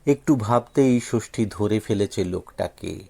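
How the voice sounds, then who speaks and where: A man's voice: fairly low pitch, measured pace, gravelly, a little mellow. A man reading a scripted story expressively as an audio story narrator.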